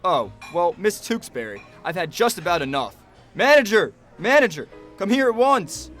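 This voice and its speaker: A man's voice: sits high, very animated, moderate pace, fairly bright tone, faint music behind. An actor playing a wistful, proud middle-aged father in a radio drama, articulate, wry and formal. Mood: indignant. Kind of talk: radio drama acting, letter narration.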